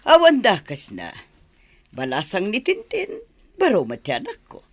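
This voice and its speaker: Wildly expressive older Ilocano matchmaking mother by an actress. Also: mid-range voice, unhurried, slightly rough, full character voice. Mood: scheming, proud. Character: older village mother, nosy, scheming matchmaker for her son. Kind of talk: Filipino comedy radio drama acting.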